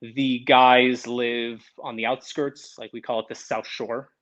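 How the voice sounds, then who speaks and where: A man's voice: medium pitch, little pitch movement, a little slow, fairly bright tone. A man from Montreal, a band member guest on a podcast, talking casually in a rambling way with many fillers and restarts. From Montreal, Canada. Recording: slightly dull lo-fi sound.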